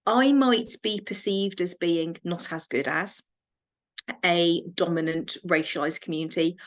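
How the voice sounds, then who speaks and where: An English woman, a counsellor and trainer, giving a reflective training talk with measured pacing and frequent restarts. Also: fairly low pitch.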